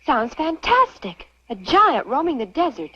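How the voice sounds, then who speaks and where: An actress playing a sociable, curious young sweetheart from a mining camp in a vintage Western radio drama. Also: female voice, high-pitched, big pitch swings, a little slow, a bit bright, exaggerated voice. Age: young adult. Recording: muffled, noisy old radio recording.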